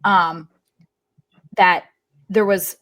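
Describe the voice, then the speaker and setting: A woman's voice: lowish voice, steady tone, very slow, a bit bright, poor audio. A woman giving casual sports analysis as a podcast panelist, rephrasing as she goes.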